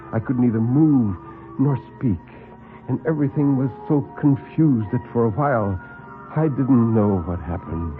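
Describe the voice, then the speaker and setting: A man narrating a first-person horror tale as a classic radio storyteller, theatrical and suspenseful, with deliberate pauses. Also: mid-range voice. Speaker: storyteller and actor. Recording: muffled old radio broadcast recording.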